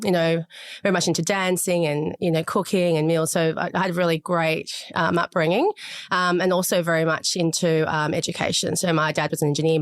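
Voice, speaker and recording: A woman with a fairly low voice speaks as a podcast guest, recalling her early life and career. She grew up in Chile and then Australia, and talks in a thoughtful, measured way with frequent fillers. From Chile, raised in Australia. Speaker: podcast guest, sales director. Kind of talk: conversational interview.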